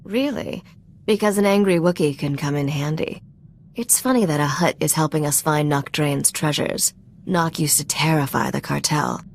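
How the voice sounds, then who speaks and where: A woman's voice: low voice, moderate pace, gravelly, a little hiss or hum. A woman playing a sly, self-assured treasure hunter and ship mechanic in a video game, dry and teasing. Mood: wry, confident. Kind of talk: video game cutscene acting.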